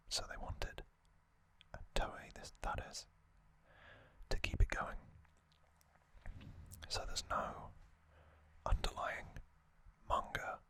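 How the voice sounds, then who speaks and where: ASMR narrator, sex open: sustained whisper, very unhurried, halting short phrases, explanatory recap delivery. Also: sharp tone. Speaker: host of an ASMR channel.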